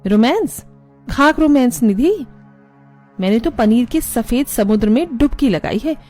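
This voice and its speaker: A woman's voice: fairly high pitch, expressive, unhurried, darkish tone, faint music behind. A woman voicing an irritated young bride in a cartoon, scolding and exasperated. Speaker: voice actor voicing several women. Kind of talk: cartoon dialogue.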